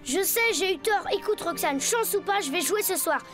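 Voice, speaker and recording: A high-voiced, earnest cartoon boy. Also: expressive, moderate pace. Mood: apologetic. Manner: earnest, hesitant, declaiming. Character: earnest, anxious young boy, amateur stage actor. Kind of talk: animated cartoon acting. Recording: music under the voice.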